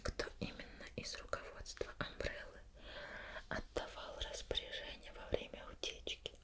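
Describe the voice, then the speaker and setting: Whispered female voice, ASMR roleplay, woman acting a suspicious investigator, patient, slow probing questions, pauses. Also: lightly acted. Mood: calm probing.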